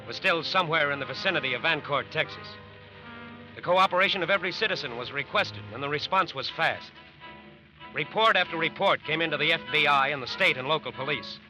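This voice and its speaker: Bright, forceful radio drama narrator. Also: male voice, fairly high pitch, low-quality recording. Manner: emphatic, deliberate repetition. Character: earnest, dramatic male narrator of FBI manhunt. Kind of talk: scripted narration, 1940s-50s radio drama.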